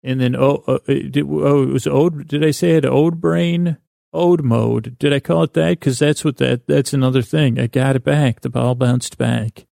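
A man with a creaky voice hosting a sleep podcast, delivering a meandering, halting bedtime monologue with whimsical, self-deprecating digressions. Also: mid-range voice, steady tone, warm tone, no room sound, studio quality. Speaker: podcast host. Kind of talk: rambling bedtime monologue to help listeners sleep.

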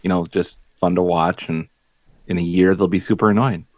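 Man, very deep voice, podcast co-host, laughs often, lo-fi dull sound. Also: steady tone, moderate pace, a little mellow, no echo. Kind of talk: casual sports chat.

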